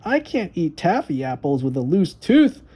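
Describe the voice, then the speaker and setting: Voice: mid-range voice, big pitch swings, normal speed, dark tone, breathy edge, pitched above the performer's own voice. Woman playing a boastful, dramatic young boy. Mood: defensive.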